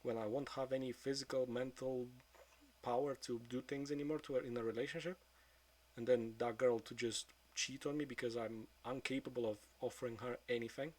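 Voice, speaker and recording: A man of twenty-two speaking in a frank, subdued confessional monologue, swearing often and trailing off into quieter mutters. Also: mid-range voice, steady tone, fairly quick. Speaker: vlogger.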